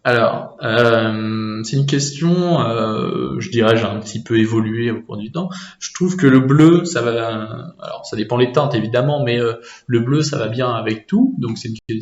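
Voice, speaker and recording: A man's voice: medium pitch, lo-fi sound. Hesitant French man, roomy sound. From France, Normandy. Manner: hesitant, many filler pauses. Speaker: interviewee. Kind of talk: casual conversational answers.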